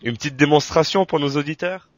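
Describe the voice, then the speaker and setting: A man interviewing a guest, asking measured, prepared questions. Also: on the high side, no room sound. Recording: dry, muffled lo-fi recording.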